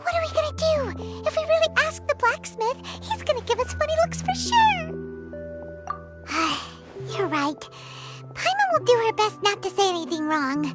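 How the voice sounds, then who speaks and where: Tiny chirpy sprite girl, squeaky and very high, wildly bouncy, game music under it. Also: sing-song, moderate pace. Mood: worried. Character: tiny floating female companion sprite, chirpy. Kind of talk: video game voice acting.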